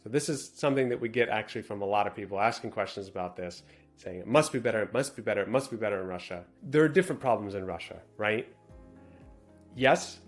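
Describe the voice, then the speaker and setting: This is a man co-hosting a relaxed talk show, running through a listener's letter at a fast clip. His voice sits a bit low, and he talks in a brisk, matter-of-fact way. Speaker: co-host. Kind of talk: casual podcast chat, reading a viewer letter aloud.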